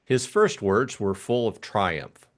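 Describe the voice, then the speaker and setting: A man narrating a classic mystery novel as scripted, expressive audiobook reading. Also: lowish voice, slow pace.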